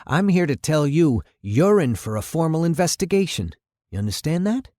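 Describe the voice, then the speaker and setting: A man's voice: fairly high pitch, sing-song, moderate pace, darkish tone, dry recording, clean studio sound, voice pushed up. A man voicing a blustering, angry city mayor in an indignant, hectoring, emphatic way for an audiobook. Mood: threatening.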